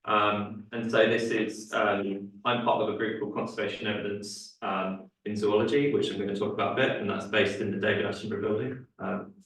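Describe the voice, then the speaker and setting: A young man delivers a seminar talk in a low, flat voice, stopping often on fillers and restarts. His voice is a little worn, as if he is losing it. He sounds far from the mic in a very echoey room. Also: a little fast, few pauses. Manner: hesitant, many fillers and restarts. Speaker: guest speaker. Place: lecture room.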